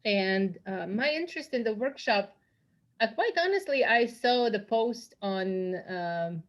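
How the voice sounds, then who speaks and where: A woman's voice: medium pitch, expressive, measured pace, muffled recording. Woman from Croatia, workshop participant, hesitant self-introduction over a video call. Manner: hesitant, with frequent fillers.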